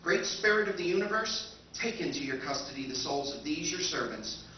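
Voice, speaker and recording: A man's voice: a little high, lively intonation, a little fast, lightly acted. Echoey, solemn robot lifeboat, acted by a man. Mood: mournfully solemn. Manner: formal, pleading, ceremonious. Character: sentient lifeboat machine, female-addressed, dutiful, protective, mournfully solemn. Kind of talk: 1950s radio drama acting. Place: live convention stage performance. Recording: distant, echoey hall, dull, noisy live recording.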